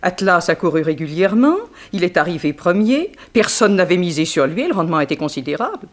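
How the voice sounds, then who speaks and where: A woman's voice: lowish voice, sing-song, a little fast. Wronged upper-class woman, formal, precise, genteel, guarded dignity, 1960s French radio drama.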